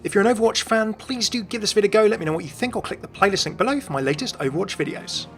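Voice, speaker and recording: Casting: British male gaming host, slightly high voice, rapid scripted delivery over a light music bed. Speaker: video host. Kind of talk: scripted narration, game commentary.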